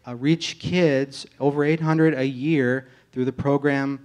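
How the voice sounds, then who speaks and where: An American man speaking as a pastor candidate in an informal testimony to a congregation, earnest and halting in his delivery. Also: medium pitch, steady tone, a little mellow. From United States, grew up in Tennessee. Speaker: pastor candidate being interviewed in church.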